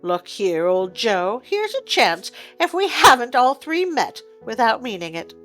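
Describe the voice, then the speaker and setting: A woman's voice: a little high, sing-song, a little slow, a bit bright, soft background music. A woman voicing a brazen, defiant thieving servant woman in a storybook reading, bold and scornful. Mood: brash.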